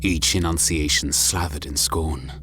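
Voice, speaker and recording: Casting: male storyteller with a bass register, solemn and deliberate dramatic narration over a clear music bed. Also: steady tone.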